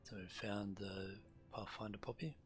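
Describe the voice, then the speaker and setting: A man streaming a game, reading quest text aloud in a measured way, now and then stumbling and correcting himself. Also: on the low side, fairly even intonation. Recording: game music behind the voice. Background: video game music.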